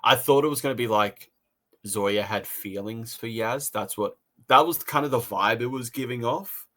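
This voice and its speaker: A man's voice: medium pitch, moderate pace, fairly bright tone. A man co-hosting a casual review podcast, talking in a rambling way, repeating phrases and voicing his own thoughts.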